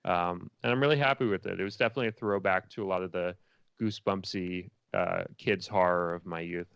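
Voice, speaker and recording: A man's voice: low-pitched, brisk, gravelly, fairly bright tone, clear, resonant tone, no echo. A man speaking casually as an interview guest, a filmmaker who often repeats phrases as he builds his thoughts.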